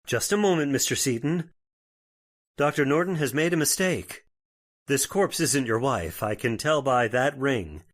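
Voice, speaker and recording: An actor playing a skeptical, folksy small-town sheriff in an old-time radio horror play, plain-spoken and authoritative. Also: male voice, medium pitch, lively intonation, a little slow. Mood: grave.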